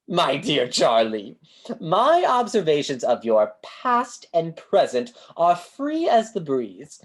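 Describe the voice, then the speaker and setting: A woman's voice: fairly low pitch, exaggerated voice. A woman playing a grandiose, kindly street fortune-teller with florid delivery in a staged play reading over a video call. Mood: theatrical, sly. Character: Great Banzini, grandiose theatrical male street fortune-teller, kindly mystic.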